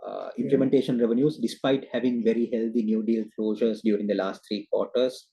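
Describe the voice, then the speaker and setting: A man giving a formal investor presentation as a company executive on a video call, halting often with hesitant filler sounds. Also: lowish voice, fairly even intonation, gravelly, mellow, husky, rough recording. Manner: hesitant, halting delivery with frequent uhs.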